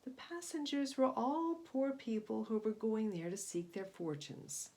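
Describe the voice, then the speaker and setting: A woman storyteller addressing young children, introducing and reading a picture book in an expressive, explanatory way. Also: on the high side, a little slow. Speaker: children's read-aloud storyteller.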